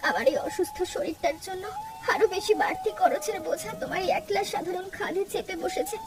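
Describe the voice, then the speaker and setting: A woman's voice: very high voice, fairly quick, loud music behind the voice. A woman voicing an ailing, fretful village wife in a cartoon story. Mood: plaintive. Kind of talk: Bengali cartoon story dialogue.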